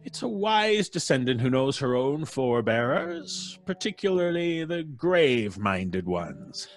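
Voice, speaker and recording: An actor playing a gleeful, wisecracking ghoulish host of an old-time radio horror show. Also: male voice, mid-range voice, big pitch swings, measured pace. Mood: gleefully macabre.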